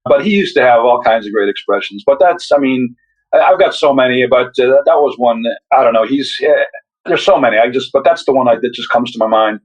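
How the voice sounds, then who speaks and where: An older man shares his memories in a loose, rambling way, often restarting his sentences. His pitch sits in the middle range. The audio is a bit dull and lo-fi. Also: a little fast. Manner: rambling, with many restarts and reflective asides. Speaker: guest author. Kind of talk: casual interview conversation.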